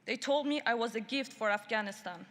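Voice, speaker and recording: A woman from Iran giving a prepared speech at a human rights summit. She speaks in a deliberate, measured and emphatic way. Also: on the high side, fairly bright tone. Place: large conference hall. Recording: long hall reverb.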